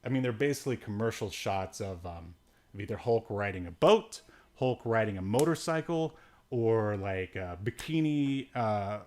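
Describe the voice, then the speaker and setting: A man's voice: lowish voice, animated, moderate pace, darkish tone. A man hosting a podcast, casually reviewing a movie in a rambling, joking way with sarcastic asides. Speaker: podcast host.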